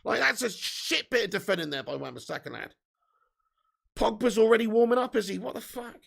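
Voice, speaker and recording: A man with a hoarse, fading voice, giving sweary, excitable live fan commentary while reading chat. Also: high voice, brisk. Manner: swearing, excitable, shouts at chances. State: losing his voice. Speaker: fan watch-along streamer.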